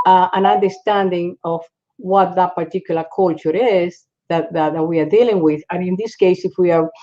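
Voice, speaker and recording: A woman from the Republic, speaking as a psychologist guest in a panel discussion, halting, with many fillers and restarts. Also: fairly low pitch, mellow. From Dominican Republic. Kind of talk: panel discussion on a video call. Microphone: video call. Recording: dull lo-fi call audio.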